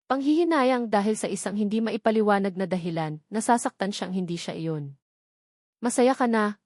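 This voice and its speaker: A woman's voice: mid-range voice, darkish tone, no echo, clean studio sound. A woman with a Filipino accent reading a dramatic story as a scripted audiobook narration.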